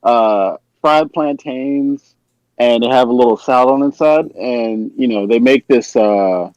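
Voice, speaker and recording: A man's voice: mid-range voice, a little slow, a little mellow, no room sound. Slightly dull dry recording, American man, mid-range voice, hesitant rambling casual podcast chat. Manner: hesitant, rambling, searching for words. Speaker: podcast participant.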